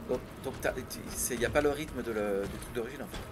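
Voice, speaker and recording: A man chatting casually on a gaming stream, quick and rambling, often correcting himself mid-sentence. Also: mid-range voice, over loud music. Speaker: co-host commenting on gameplay. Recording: noisy game-stream audio.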